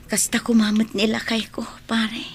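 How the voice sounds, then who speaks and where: A woman's voice: fairly high pitch, monotone, moderate pace, a little hiss or hum, lightly acted. A woman with an Ilocano accent playing a weary, long-suffering married neighbour, confiding in a radio drama style. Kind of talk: Filipino radio drama acting.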